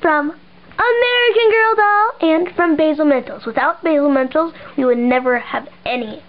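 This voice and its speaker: A woman's voice: high voice, moderate pace. A teenage girl chatting and giggling as she chimes in on a dollhouse tour in a bedroom. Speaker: co-host of a doll video channel. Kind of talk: casual chat. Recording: dull lo-fi sound.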